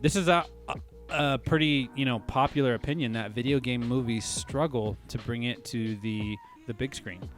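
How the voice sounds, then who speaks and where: A man's voice: mid-range voice, normal speed, few pauses, soft background music. A man in his late thirties with an American accent, hosting a podcast in casual, slangy chat. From California, United States. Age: late 30s. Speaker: podcast host.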